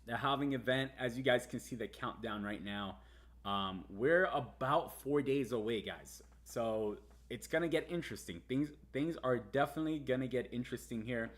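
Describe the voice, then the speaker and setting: A man's voice: medium pitch, normal speed. Chatty American man hosting a livestream. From United States. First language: Spanish. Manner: chatty, many fillers and restarts, addresses viewers as guys. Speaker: livestream host. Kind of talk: casual live market commentary.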